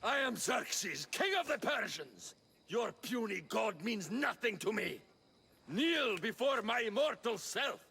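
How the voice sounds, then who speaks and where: A man's voice: high voice, gravelly, sharp tone, full character voice. An actor playing a pompous, contemptuous god-king villain, declaiming grandly like a stage villain in a video game. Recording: long hall reverb, faint noise.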